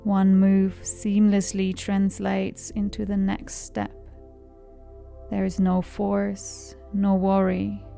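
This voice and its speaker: Female meditation guide: unhurried, calm, gravelly fry, soothing, music underneath. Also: mid-range voice. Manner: calm, soothing, measured delivery. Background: background music.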